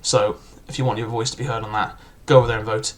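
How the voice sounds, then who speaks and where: A man's voice: fairly low pitch, flat delivery, fast talker. A young man casually reviewing a book, chatty and loose, with frequent filler words and restarts.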